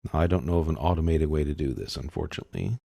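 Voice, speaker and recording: A man narrating a step-by-step software tutorial in an informal, explanatory way, thinking aloud as he works. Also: extremely low pitch, quick pace, gravelly, dry recording.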